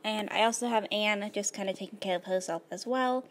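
A woman of middle pitch talks her way through a life-simulation game in an easygoing, rambling manner, full of "um" and "like". Her voice is a little hoarse, as if she is losing it. She sounds close to a desktop mic. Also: fairly quick. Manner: rambling, filler-heavy, laid-back. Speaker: gaming video host. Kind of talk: casual gameplay commentary.

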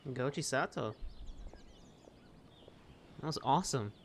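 A man's voice: medium pitch, lively intonation, slow pace, slight background noise. A man doing casual game playthrough commentary, reading dialogue aloud and chatting as he plays. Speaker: gaming video creator.

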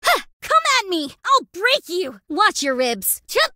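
A woman's voice: very high voice, big pitch swings, unhurried, bright, forceful tone, dry recording, studio quality, exaggerated voice. A woman voicing a brash, tomboyish young stunt actress with an Australian accent, delivering game voice lines. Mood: fierce. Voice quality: cocky, brash, scrappy. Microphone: close studio mic.

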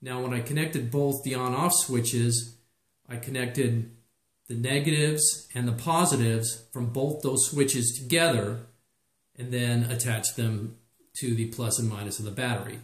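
An echoey indoor recording of a man presenting a how-to project. His voice sits at an average male pitch. He explains each step in a plain, methodical way, like a practical instructor. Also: fairly even intonation, unhurried. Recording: echoey room.

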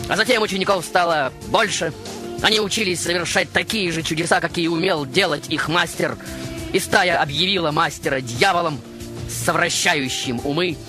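A man hosting a radio show, delivering a scripted literary monologue in a dramatic, deliberate, theatrical manner. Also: a little high. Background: music behind the voice.

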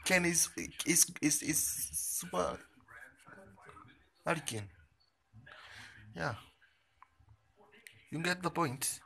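A man playing a proud English football fan touring Kenya, halting and stammering as he boasts, filmed close on a phone indoors. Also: a little high, vocal fry, bright, forceful tone, heavily acted. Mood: boastful. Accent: English put on. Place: indoors, sitting on a couch. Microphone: phone selfie camera close up.

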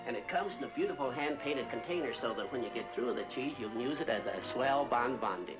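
A man's voice: mid-range voice, lively intonation, quick pace, lightly acted. An actor playing a chatty, joking milkman with folksy sales patter in a 1940s film comedy. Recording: muffled old film soundtrack with music.

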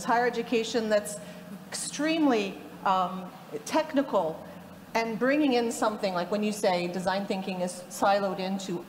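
A woman in her forties from Egypt, speaking as a panelist in an explanatory way and tagging her points with "right?". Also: medium pitch. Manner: explanatory, gestures a lot, tags points with "right?". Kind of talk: panel discussion talk. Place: indoor conference panel stage. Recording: long hall reverb, faint noise.

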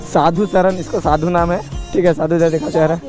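A young man with a hoarse, strained voice, chatting casually to viewers while riding a motorbike outdoors. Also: on the high side, level tone, a little fast, music in the background. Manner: chatty, addresses viewers directly. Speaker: motovlogger. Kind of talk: casual motorcycle vlog narration. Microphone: helmet-mounted action camera.

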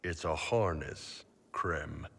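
An actor playing a big, gruff and jovial horned mercenary captain in a fantasy game, easygoing and teasing. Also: male voice, a bass voice, slow, pushed and bright, in a large hall, full character voice. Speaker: mercenary company leader.

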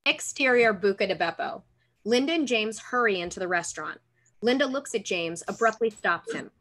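A woman narrates a screenplay's stage directions. Her pitch is average and her delivery is scripted, moving through short scene descriptions over a video call. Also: expressive, normal speed, fairly bright tone, rough recording. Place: room at home, indoors. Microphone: computer mic on video call.

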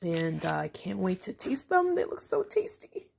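A woman's voice: lowish voice, wildly swooping pitch, moderate pace, gravelly, a little mellow, slight background noise. A woman in her forties, an author, chatting casually through a home cooking video with frequent ums. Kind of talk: casual cooking vlog narration. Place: home kitchen.

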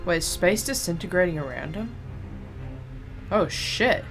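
A woman with a slightly raspy voice doing joking let's-play commentary over a game. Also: lowish voice, animated, measured pace, over music. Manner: laughing, joking, swearing. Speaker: gaming youtuber.

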